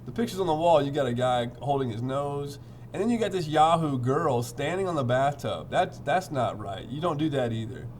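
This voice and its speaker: A man reads a children's picture book in an animated, swooping voice. He breaks off for informal, playful commentary, with noticeable ambient noise in the recording. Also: medium pitch, normal speed. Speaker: read-aloud storyteller. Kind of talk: picture book read-aloud with casual asides.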